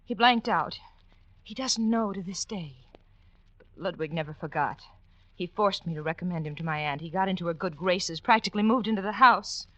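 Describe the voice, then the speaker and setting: An actress playing an anxious young femme fatale in a 1940s radio drama, breathless, pleading and melodramatic. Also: female voice, lowish voice, moderate pace, no room sound, dull audio, natural voice. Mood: nervous confiding.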